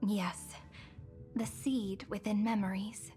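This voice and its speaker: A woman voicing a gentle, wistful young woman in a game, speaking softly in a breathy, half-whispered way. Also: medium pitch, little pitch movement, slow, faint music behind, close to an everyday voice. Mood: tender. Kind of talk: game voice acting.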